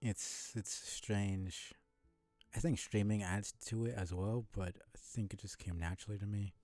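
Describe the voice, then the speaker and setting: A man streaming a video game with casual commentary, musing and thinking aloud in a low-key way. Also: fairly even intonation, measured pace, darkish tone, no room sound, soft background music.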